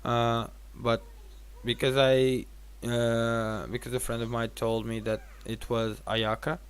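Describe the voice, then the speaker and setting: A man's voice: medium pitch, level tone, takes it slowly, clear, resonant tone. A tired man giving casual gameplay commentary as a streamer, rambling and thinking aloud with some casual swearing. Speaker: game streamer.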